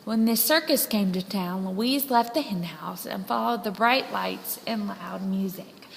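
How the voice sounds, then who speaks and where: A woman reading a children's story aloud in a dramatic, deliberate storytelling manner. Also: mid-range voice, lively intonation, gravelly, a little hiss or hum. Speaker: storybook reader. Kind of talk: scripted children's story narration, read aloud expressively. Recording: long hall-like reverb.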